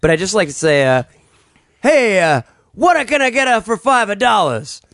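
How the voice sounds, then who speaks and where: Man, a bit high, hesitant comic co-host, dry sound. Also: very animated, moderate pace, a bit bright, no echo. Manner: hesitant, restarts his sentences. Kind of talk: casual comedy chat.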